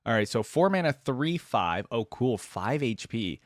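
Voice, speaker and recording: A man's voice: medium pitch, sing-song, unhurried, no echo, studio quality. A man hosting a casual reaction video, informal and thinking aloud as he comments.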